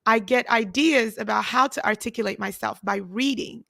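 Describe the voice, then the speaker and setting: A woman hosting a casual interview, expressive and talkative, whose first language is not English. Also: on the high side, normal speed, creaky, no echo, clean studio sound. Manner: expressive, talkative, frequent laughter. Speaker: host.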